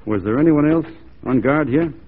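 A man's voice: on the high side, natural voice. Wry easygoing frontiersman played by a man, tinny radio. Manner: dry, folksy, unhurried irony. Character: easygoing, wry, loyal civilian frontier adventurer. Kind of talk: 1940s radio acting. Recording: tinny, faintly noisy 1940s radio recording.

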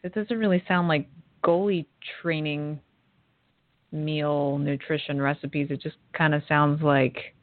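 A woman's voice: deep voice, fairly even intonation, a little slow, no room sound. A woman co-hosting a podcast in casual chat, teasing her partner with incredulous questions. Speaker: podcast co-host. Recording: tinny phone-like line.

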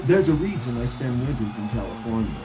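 Man playing a lively, sardonic ghost of a sportswriter. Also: medium pitch, fairly quick, music in the background, close to an everyday voice, poor audio. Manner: chatty, sardonic, opinionated. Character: exasperated, fun-loving ghost of dead sportswriter pal. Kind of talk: 1920s-set comic audio drama.

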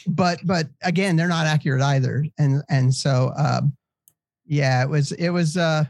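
A man's voice: a little high, a little slow, gravelly, darkish tone, dry recording, clean studio sound. An American man with a congested nose hosts a casual co-hosted discussion in a jokey, rambling way. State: congested from allergies. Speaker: podcast host.

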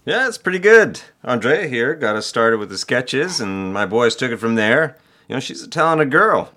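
An actor playing a friendly ad agency art director with an easygoing, casual, flattering way of talking, in an audio drama. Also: male voice, mid-range voice, moderate pace. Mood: easygoing, supportive.

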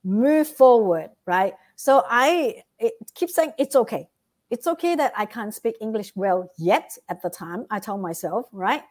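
A woman's voice: fairly high pitch, expressive, a little slow, a little mellow. A woman with a Vietnamese accent, a guest giving earnest motivational advice and often checking in with "right?". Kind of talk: motivational interview talk.

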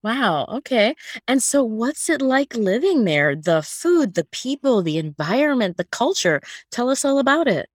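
A woman hosting an interview podcast, speaking with a slightly husky voice. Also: a little high, big pitch swings, unhurried, few pauses, no echo, clean studio sound. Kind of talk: scripted podcast intro and conversational interviewing.

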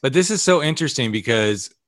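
A man hosting a podcast interview, telling stories in a casual, animated way and often acting out what other people said. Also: medium pitch, fast talker, dry recording, studio quality. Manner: animated storyteller who acts out quoted dialogue. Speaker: podcast host and author. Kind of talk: conversational interview.

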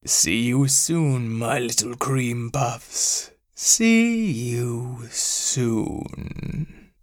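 A man's voice: mid-range voice, extremely slow, dry recording, studio quality, voice pushed down. A man voicing a vain, menacing farm cat in a children's story, with a sneering, taunting drawl. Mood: sly threat. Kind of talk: acted children's story narration.

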